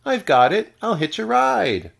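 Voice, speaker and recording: A man's voice: high-pitched, very animated, measured pace, creaky, fairly bright tone, slightly rough, voice pushed up, exaggerated voice. A man voicing a tiny female sea snail, dreamy and eager, for a storybook. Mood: excited.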